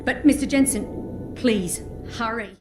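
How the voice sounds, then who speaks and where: Anxious scientist, echoey room. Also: female voice, on the high side, slow pace. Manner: anxious, hesitant. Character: frightened female scientist whistleblower, anxious, hesitant. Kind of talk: video game dramatic acting. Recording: echoey room with loud music.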